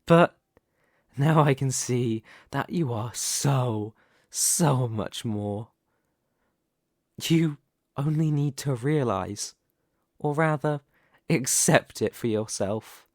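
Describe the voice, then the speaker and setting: A man playing a possessive, ambitious young mafia boss in an ASMR roleplay, speaking deliberately and condescendingly with pointed, theatrical pauses. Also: mid-range voice, dry recording, clean studio sound. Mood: possessive, coaxing. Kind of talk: ASMR roleplay, dramatic monologue to the listener.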